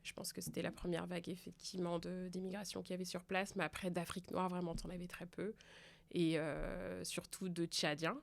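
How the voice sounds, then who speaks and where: A woman's voice: lowish voice, flat delivery, a little fast, vocal fry. A woman in her thirties from France, telling her story frankly on a podcast. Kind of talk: casual round-table chat.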